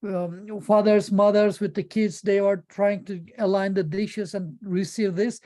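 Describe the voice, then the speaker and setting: A woman's voice: mid-range voice, fairly even intonation, a little slow, dark tone. A woman giving an informal presentation about a project as a presenter. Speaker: conference presenter.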